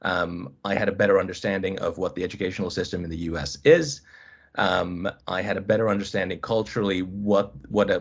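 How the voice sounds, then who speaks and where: A man in his thirties with a lowish voice talks through a webinar presentation over a video call. He hesitates a lot, fills gaps with ums and restarts phrases. The audio is dull and lo-fi. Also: slightly rough. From Finland. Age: 30s. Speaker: college squash coach, guest speaker.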